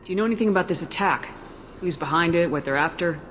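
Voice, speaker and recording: A woman's voice: on the low side, fast talker, fairly bright tone, a little hiss or hum, close to an everyday voice. A woman playing a composed, direct military commander in a video game, with a questioning manner. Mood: inquiring. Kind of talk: video game dialogue acting.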